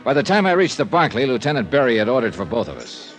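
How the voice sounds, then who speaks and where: A man's voice: medium pitch, big pitch swings, fast, soft background music, close to an everyday voice. An actor playing a cocky, wisecracking insurance investigator in a 1950s American radio drama, narrating his case and trading dialogue. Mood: wry narration. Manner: wry, wisecracking. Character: wry, cocky freelance insurance investigator, adult man. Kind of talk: 1950s American radio detective acting, first-person narration.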